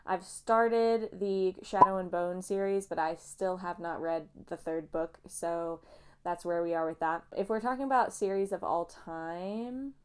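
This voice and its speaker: A woman's voice: fairly low pitch, fairly even intonation, measured pace, darkish tone, clean tone. A young woman, chatting casually about books. She sounds a little nasal and sniffly from allergies and rambles off on playful tangents. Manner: chatty, self-mocking, goes off on tangents. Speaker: booktuber. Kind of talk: casual rambling book vlog.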